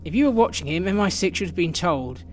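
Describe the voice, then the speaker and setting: A man playing a blunt, higher-voiced spy chief. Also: animated, fast talker, soft background music. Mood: angry, defensive. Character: blunt, irritated male intelligence chief. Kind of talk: radio drama acting.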